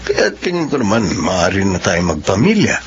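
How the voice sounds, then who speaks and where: An earnest young doctor fiancé. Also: male voice, sits low, wildly swooping pitch, moderate pace, full character voice. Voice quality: reassuring, earnest. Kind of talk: radio drama acting. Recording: dull lo-fi audio, faint hiss.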